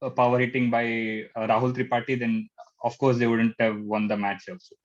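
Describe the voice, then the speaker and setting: A man with an Indian accent giving casual match analysis as a panelist, with a halting, hesitant delivery. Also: mid-range voice, level tone. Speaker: cricket show panelist. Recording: dull, lo-fi band-limited sound.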